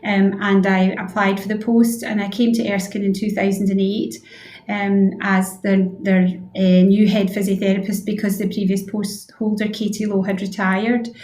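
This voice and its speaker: A woman's voice: medium pitch, little pitch movement, moderate pace, darkish tone. A Scottish woman of about sixty answering conversationally as a guest in a radio interview. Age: around 60. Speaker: interview guest, head physiotherapist. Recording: roomy, echoing room sound.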